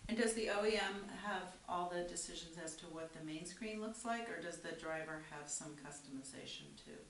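A woman's voice: lowish voice, a little fast. A woman interviewer asking informal questions from off mic. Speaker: interviewer, correspondent. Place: indoor office or showroom. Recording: roomy, off-mic, faint hiss.

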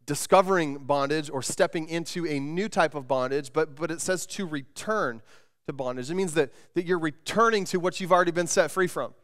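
A man's voice: fairly high pitch, a little fast, fairly bright tone. A man in his thirties preaching a sermon from a church stage, conversational, often repeating words and restarting sentences. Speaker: pastor. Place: church stage with band gear, indoors.

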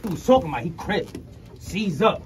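A man's voice: sits high, sing-song, normal speed. A young man from New York rapping a confrontational battle verse in a crowded indoor room. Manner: confrontational, storytelling. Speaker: battle rapper. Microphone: handheld camera at close range. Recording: crowd chatter in a packed room.

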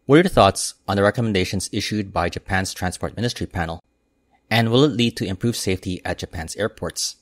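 A man hosting an aviation news channel, reading a scripted report in a steady, measured way as a voice-over. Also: deep voice, no room sound, clean studio sound. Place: voice-over, not recorded in the pictured airport.

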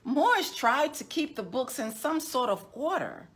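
Slow, higher-voiced woman reading a storybook. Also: very animated, fairly bright tone. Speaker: children's librarian and storytime host. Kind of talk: storybook read-aloud for children. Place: indoors, small decorated room.

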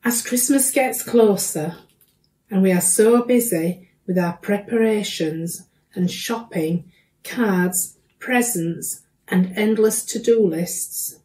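A middle-aged woman leads prayers in a slow, measured way, leaving pauses between short phrases. Her voice is soft-edged and mellow. She is recorded a little way from the microphone in a domestic room. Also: medium pitch. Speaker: church prayer leader. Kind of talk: reading led prayers aloud to a congregation. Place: small domestic room, indoors. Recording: slightly distant microphone.

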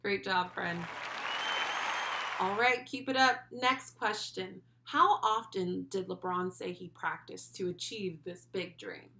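A woman's voice: medium pitch, slow pace, vocal fry, some chatter behind, slight background noise, rough recording. Encouraging young woman teaching children. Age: young adult. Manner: encouraging, animated praise. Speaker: children's read-along host and school speech therapist. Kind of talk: children's lesson with comprehension questions, addressing the child directly. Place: small furnished room at home, indoors.

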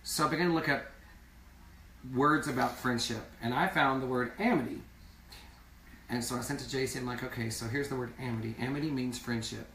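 A hoarse man in his thirties chats loosely on a live stream, wandering between stories and viewer comments. His voice sits in an echoey small room and is picked up by a phone set some distance away. A faint hiss runs underneath. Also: mid-range voice, a little fast, a bit bright. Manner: chatty, rambling, reads viewer comments aloud. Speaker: singing duo member hosting a live stream. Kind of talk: casual live-stream chat. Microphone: phone camera at a distance.

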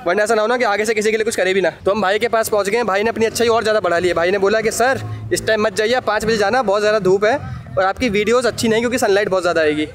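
Travel vlog host: high-pitched man in his thirties, chatty and joking, handheld cam outdoors. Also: rapid-fire, slight background noise. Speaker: travel vlogger. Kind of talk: casual vlog narration. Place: outdoors on a sunny beach and street.

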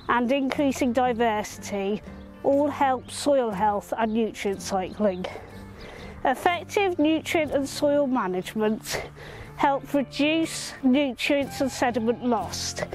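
Woman advisor on a lapel mic outside, clear even delivery, higher voice, faint music. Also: poor audio. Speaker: farming advisor. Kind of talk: scripted information piece. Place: farm field outdoors.

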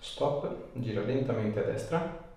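A man's voice: mid-range voice, takes it slowly, warm tone. A man, working as a chiropractor and giving short instructions to a patient during treatment. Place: small hard-walled treatment room indoors. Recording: roomy, distant mic, faint room noise.

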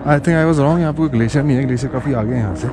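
A man's voice: mid-range voice, racing, noisy background. A man in his thirties vlogging while walking outdoors through a snowy mountain valley, chatting casually into a camera he holds close and mixing English into his talk. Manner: chatty, mixes English into speech, reflective at times. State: out of breath from walking at altitude. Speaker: travel vlogger. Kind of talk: casual travel vlog narration. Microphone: action camera held close. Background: ambient music.

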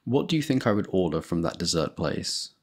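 A man's voice: deep voice, sing-song, fairly quick, a little mellow. A young man in a boyfriend roleplay, talking to a girlfriend in a playful, laughing, affectionate way, close to the mic.

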